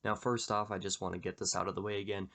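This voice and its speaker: A man with a low, slightly gravelly voice hosts a casual book discussion. He explains things in a measured, conversational way, as if talking straight to his online viewers. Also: flat delivery, fairly bright tone. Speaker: YouTube book channel host.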